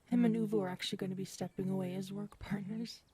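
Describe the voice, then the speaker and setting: A woman acting in an ASMR audio roleplay as a gentle, shaken specialist who confides haltingly and softly. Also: medium pitch, steady tone, husky, close to an everyday voice. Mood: soft, reassuring. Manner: soft-spoken, hesitant, halting.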